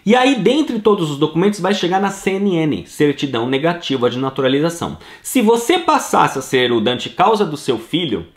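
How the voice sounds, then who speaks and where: Brazilian man, middle-aged, animated and emphatic, slightly high voice, roomy echo. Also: moderate pace, hardly any pauses. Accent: Brazilian Portuguese. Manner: emphatic, acts out listeners' questions in quotes. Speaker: citizenship consultant answering listener questions. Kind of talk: casual explanatory talk.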